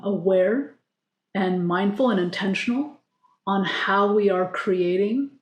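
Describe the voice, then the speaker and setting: A woman on a panel, an executive coach, explaining ideas in a measured, emphatic way. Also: mid-range voice, darkish tone. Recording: roomy echo.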